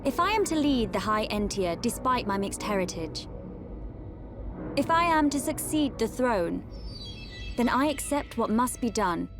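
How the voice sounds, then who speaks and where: An actress playing a resolute, dignified young crown princess, speaking formally and earnestly in a fantasy game drama. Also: female voice, a little high, big pitch swings, unhurried. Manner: regal, earnest. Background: background music.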